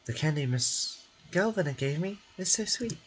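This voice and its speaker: A man voicing a sweet, earnest young child in a game, telling stories gently and simply. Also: a little high, lively intonation, normal speed, pitched above the performer's own voice, close to an everyday voice. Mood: cheerful.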